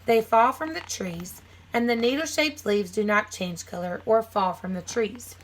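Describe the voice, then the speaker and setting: A woman's voice: medium pitch, measured pace, low-quality recording. A woman teacher reading a children's nonfiction book aloud, phrasing it simply for young listeners with casual asides.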